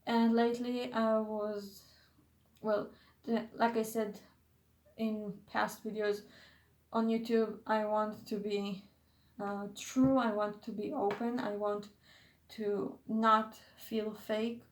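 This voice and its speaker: A woman's voice: mid-range voice, level tone, slow pace, echoey room. A young woman who is not a native English speaker, chatting in a casual vlog, hesitant and often losing her train of thought. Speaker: YouTuber.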